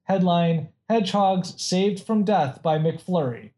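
A man's voice: high-pitched, lively intonation, slow pace, mellow. A man casually chatting and reading out odd facts, rambling with many restarts and frequent laughs.

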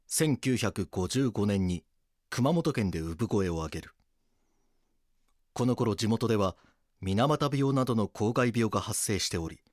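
Male narrator, low voice, dry close sound, grave, measured, scripted documentary drama. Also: no room sound.

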